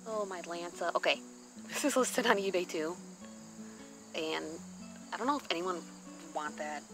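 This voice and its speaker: A woman's voice: on the low side, normal speed, rough recording. Gushing, informal woman vlogger over music. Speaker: vlogger showing thrift finds. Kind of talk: casual show-and-tell chat. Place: outdoors on a patio or deck at a table.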